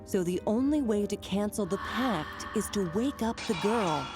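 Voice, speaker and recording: A woman playing a caring, determined mother and curator in a video game, measured and thoughtful. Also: mid-range voice, lively intonation, music in the background, close to an everyday voice. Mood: solemn reading. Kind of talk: video game cutscene acting.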